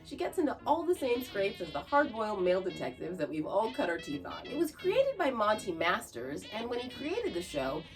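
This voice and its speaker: A woman's voice: a little high, sing-song, normal speed, hardly any pauses. A woman hosting a podcast, reading scripted narration with chatty asides. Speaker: podcast host. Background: music.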